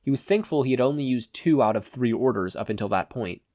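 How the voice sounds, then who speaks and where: Guy reading a fanfic like an audiobook, mid pitched voice, no room echo. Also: animated, fast, darkish tone, studio quality. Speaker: podfic narrator. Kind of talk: scripted narration of fan fiction.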